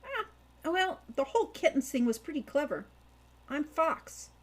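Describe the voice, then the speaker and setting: A woman's voice: sits high, sing-song, a little slow, pitched above the performer's own voice. A woman voicing a plucky, sly young girl in an audiobook, breezy and cheeky. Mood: cheeky, eager. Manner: breezy, carefully casual, cheeky.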